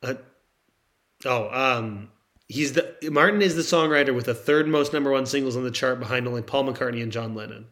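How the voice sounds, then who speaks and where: A young man co-hosting a loose, jokey podcast, his sentences wandering and often breaking off before they land. He talks into a handheld microphone at a middling pitch. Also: a little fast, a bit bright. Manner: rambling, joking, trails off mid-thought. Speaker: podcast co-host. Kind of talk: casual comedic chat. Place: in France, apart from co-host.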